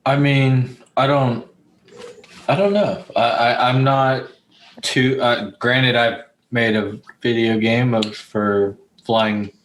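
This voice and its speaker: A man's voice: mid-range voice, fairly even intonation, slow pace, a bit bright, slight background noise, rough recording. Blunt, sweary man hosting a casual chat. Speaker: podcast host.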